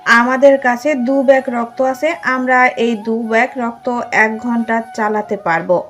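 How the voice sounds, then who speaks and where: A woman's voice: a little high, fairly even intonation, unhurried, a bit bright. A woman voicing an urgent, insistent hospital nurse in an audio story. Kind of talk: audio story character dialogue. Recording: music under the voice.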